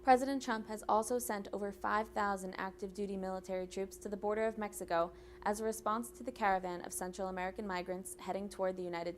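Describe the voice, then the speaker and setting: A woman's voice: medium pitch, a little hiss or hum. A young adult woman working as a student news anchor, delivering scripted campus news.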